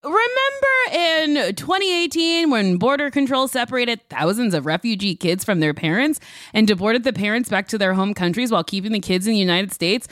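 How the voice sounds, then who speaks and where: A woman with a fairly high voice reads a scripted appeal as a podcast host. She sounds earnest, and the recording is dry and close. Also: big pitch swings, moderate pace, fairly bright tone, dry recording. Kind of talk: scripted narration.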